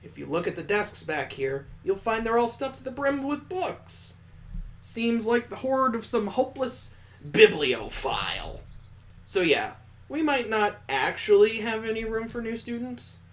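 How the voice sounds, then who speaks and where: A man's voice: high-pitched, very animated, a little slow, a bit bright, pitched above the performer's own voice, heavily acted. A man voicing a bookish, wry, meddling student in a visual novel, with a bantering manner.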